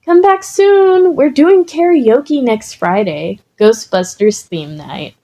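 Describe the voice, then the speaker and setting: A woman's voice: high voice, slow pace, warm tone, voice pushed up, full character voice. A woman voicing a casual, chatty ghost with a flippant manner in a story reading. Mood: friendly.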